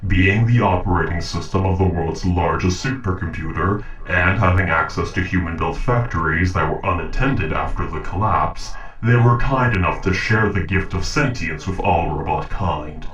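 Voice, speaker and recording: A man playing a calm, earnest young robot captor in an audio roleplay, speaking formally and precisely. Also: low-pitched, echoey room, recorded from a distance, highs cut off. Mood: calm, explanatory. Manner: formal, measured, polite, precise. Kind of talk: scripted audio roleplay drama.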